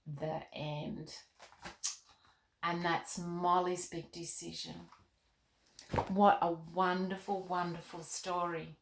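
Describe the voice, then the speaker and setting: A woman's voice: lowish voice, slow, long pauses, echoey room, slight background noise, poor audio. A woman hosting a children's story show, narrating and chatting expressively to young listeners as the author. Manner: clear, expressive, addressing children.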